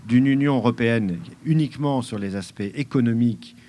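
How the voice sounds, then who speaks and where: A man's voice: medium pitch, a little fast, mellow, a little hiss or hum. A man on a debate panel, speaking earnestly and at length. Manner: earnest, long-winded.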